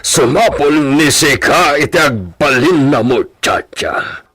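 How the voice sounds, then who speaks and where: An actor playing a bossy, grumbling older landowner with a husky voice in an Ilocano comedy radio drama. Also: male voice, a little high, expressive, slow, a bit bright, no room sound, clean studio sound, heavily acted. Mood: commanding.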